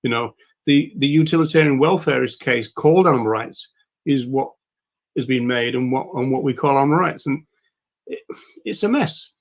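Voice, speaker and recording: A man hosting a livestream who talks in a rambling way, full of fillers and restarts, with a croaky voice today. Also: mid-range voice, animated, normal speed. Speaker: livestream host. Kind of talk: casual livestream talk.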